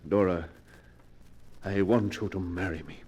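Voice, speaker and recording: An actor playing a rich recluse in love, courtly and insistent, in golden age radio drama style. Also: male voice, sits low, fairly even intonation, measured pace, a little mellow, lo-fi sound. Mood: proud. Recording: noisy old radio recording with clicks.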